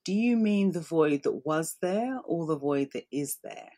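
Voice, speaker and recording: A woman's voice: low-pitched, big pitch swings, measured pace, mellow. A woman interviewing a poet, encouraging and lightly teasing as she asks her questions.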